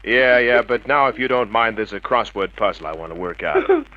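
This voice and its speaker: An actor playing a wisecracking, cynical private detective with an American accent, dry and sardonic in a 1950s radio drama manner. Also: male voice, mid-range voice, very animated, normal speed, lightly acted. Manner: dry, sardonic, hard-boiled. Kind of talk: 1950s hard-boiled radio drama acting and narration. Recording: muffled vintage radio recording.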